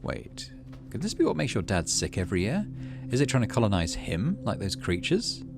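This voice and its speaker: Guy doing a lets play, kinda low voice but swings around a lot, reads the story out, music playing. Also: wildly swooping pitch, moderate pace, gravelly. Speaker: gaming video host. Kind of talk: game playthrough commentary and reading story text aloud.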